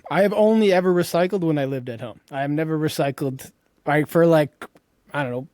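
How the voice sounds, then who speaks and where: A man's voice: fairly high pitch, brisk, a little mellow, dry recording, clean studio sound. A man in his thirties chatting casually as a podcast co-host, blunt and free with swearing. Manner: blunt, profane. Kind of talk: casual chat.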